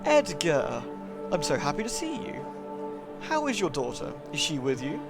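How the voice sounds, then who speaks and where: A man's voice: a little high, huge pitch swings, moderate pace, loud music behind the voice. A man voicing a poised, genteel female vampire patron from a visual novel, giving composed instructions. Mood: gracious. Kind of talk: visual novel character read-aloud.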